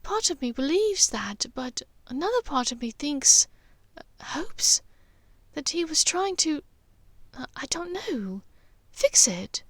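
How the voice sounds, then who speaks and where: A woman's voice: high voice, sing-song, takes it slowly, a bit bright, dry recording, pitched above the performer's own voice, heavily acted. A woman voicing a refined, reflective mother in an audiobook, speaking hesitantly and confidingly. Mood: sad, reflective. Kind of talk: audiobook character dialogue.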